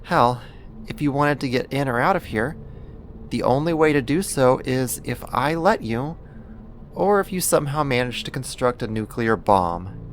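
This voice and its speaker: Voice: medium pitch, expressive, a little hiss or hum, lightly acted. A performer playing a possessive yandere neighbor who kidnaps, sweet yet menacing, in an intimate, coaxing ASMR roleplay monologue. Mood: possessive, smug. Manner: coaxing, slow, deliberate, condescending endearments.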